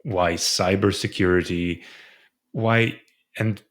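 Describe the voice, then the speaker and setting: A man's voice: sits low, fairly even intonation, slow. A man hosting a tech podcast, asking questions in a friendly, loose way with fillers. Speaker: podcast host. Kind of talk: casual interview chat.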